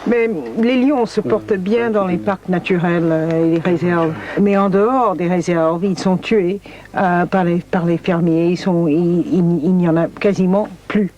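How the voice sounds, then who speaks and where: A woman's voice: fairly low pitch, steady tone, measured pace, lo-fi sound, crowd noise. A woman interviewed outdoors as a wildlife expert, explaining in spontaneous speech and often searching for words. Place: outdoors in a national park.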